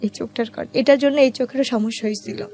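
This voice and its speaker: A Bangladeshi woman with a fairly high voice recounts her personal story as an interview guest. She speaks in a colloquial, conversational way, with faint music underneath. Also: steady tone, quick pace, mellow.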